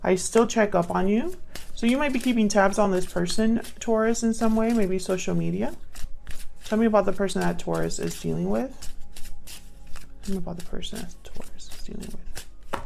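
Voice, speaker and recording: Woman reading tarot, creaky voice. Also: mid-range voice, normal speed, darkish tone. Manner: murmurs card prompts to herself, repeating them. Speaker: tarot reader, channel host. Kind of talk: casual spoken tarot card reading. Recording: clear background noise with card shuffling clicks.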